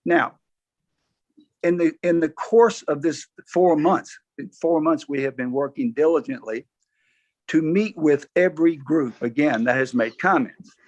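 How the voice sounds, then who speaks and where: A hoarse man presenting plans to a review board on a video call, often clearing his throat and restarting his sentences. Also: fairly high pitch, a little slow, a little mellow, dull audio. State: hoarse from allergies. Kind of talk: formal presentation at a public meeting.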